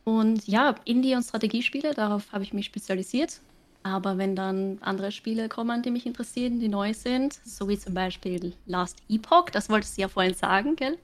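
A woman's voice: mid-range voice, brisk. An Austrian woman talks as a podcast guest, her accent plain throughout. She explains things in a chatty, easygoing way, with plenty of small fillers along the way. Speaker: guest streamer. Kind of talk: casual podcast chat.

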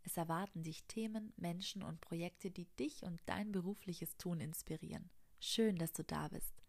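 A woman hosts an informative podcast, talking through her subject in a steady explanatory monologue. Her voice sits low and carries a gravelly fry, and she has a cold. Now and then she pauses on a small filler before moving on. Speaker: podcast host.

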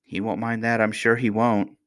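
A man's voice: fairly low pitch, little pitch movement, moderate pace, a bit bright, no echo. A man voicing an anxious, easily offended royal nursemaid in a storybook, fretful and scolding.

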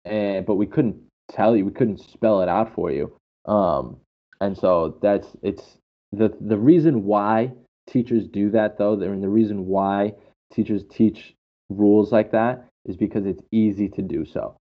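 A man's voice: on the low side, a little slow. A man speaking casually and enthusiastically as an English teacher, explaining with anecdotes and repeating phrases for emphasis. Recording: dull, muffled lo-fi sound.

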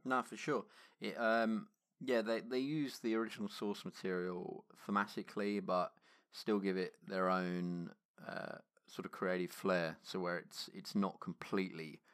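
Male podcast co-host, lower-pitched voice, informal chat, dry close sound. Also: little pitch movement, a little slow, fairly bright tone, smooth, dry recording. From England. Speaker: podcast host reviewing tabletop adventures. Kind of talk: casual podcast discussion.

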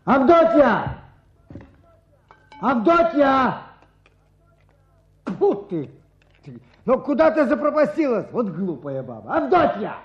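A man's voice: very high-pitched, sing-song, takes it slowly, pauses often, faint music behind, full character voice. An actor playing a stubborn, grumbling village fisherman in a radio drama, blustery and repeating his words. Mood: irritated. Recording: dull muffled radio play recording.

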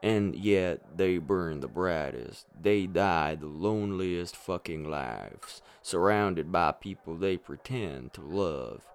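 A man's voice: sits low, expressive, takes it slowly, hardly any pauses, clean tone, exaggerated voice. A man acting a weary, hard-drinking, despairing superhero in a darkly comic radio play, brooding and rambling with a sardonic edge. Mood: bitter, sad. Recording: music playing under the voice.

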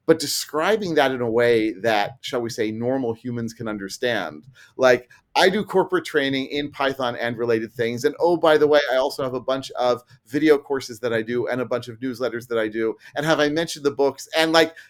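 A man's voice: mid-range voice, brisk, few pauses. Middle-aged man explaining casually as podcast guest. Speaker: interview guest, programming trainer.

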